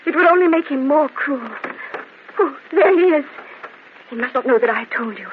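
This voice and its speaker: An actress in an old-time radio drama playing an anguished, devoted wife of a cruel husband, speaking with melodramatic pleading. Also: female voice, sits high, expressive, normal speed. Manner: melodramatic, breathless pleading and exclaiming. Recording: muffled, noisy old radio drama recording.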